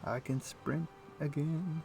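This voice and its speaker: A man streaming a game, chatting casually and thinking aloud in a halting, muttering way as he plays. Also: a little high, fairly even intonation, vocal fry, darkish tone, soft background music, rough recording. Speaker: game livestreamer. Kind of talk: casual livestream chat with gameplay commentary.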